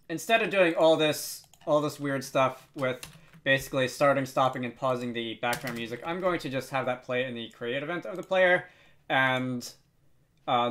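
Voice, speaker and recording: A man presenting an informal software tutorial, talking off the cuff and often correcting himself as he demonstrates. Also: medium pitch. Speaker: tutorial video host. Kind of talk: unscripted software tutorial narration.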